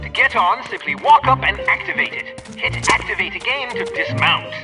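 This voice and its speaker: Chipper game gadget trainer, high lively voice, precise, distant over music. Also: male voice, moderate pace. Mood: instructive, upbeat. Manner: precise, enthusiastic instruction. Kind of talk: scripted game tutorial narration.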